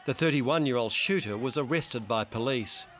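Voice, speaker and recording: A man's voice: medium pitch, moderate pace, a bit bright, slight background noise. An Australian man narrating a scripted TV news report as a foreign correspondent.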